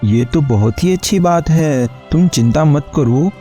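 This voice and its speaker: A man's voice: a little high, lively intonation, unhurried, warm tone, soft background music. A man voicing merchants and a king in a cartoon folk story. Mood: obliging. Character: men of a folk tale: merchants and a disguised king. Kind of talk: Hindi cartoon story acting.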